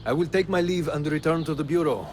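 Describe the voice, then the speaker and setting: A man's voice: on the high side, quick pace, music in the background, lightly acted. Actor as wistful, stoic male rebel scout. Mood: advising. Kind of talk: video game cutscene acting.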